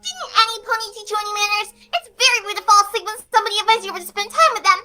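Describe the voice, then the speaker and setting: A woman's voice: extremely high pitch, racing, bright, forceful tone, exaggerated voice. A woman voicing a childlike, theatrical female cartoon pony, sadistic, pouty and manic, in a horror story reading. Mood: pouty, scolding. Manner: childlike, sing-song, theatrical. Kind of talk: acted dialogue in a horror fan fiction reading. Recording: music behind the voice.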